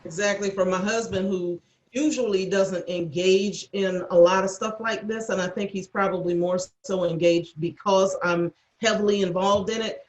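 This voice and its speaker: A woman chatting casually on a video call, telling anecdotes in an earnest, halting way. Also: medium pitch, fairly even intonation, darkish tone, dull audio. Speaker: audio editor and cast crew member. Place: indoor room on a video call. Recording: echoey room over video call.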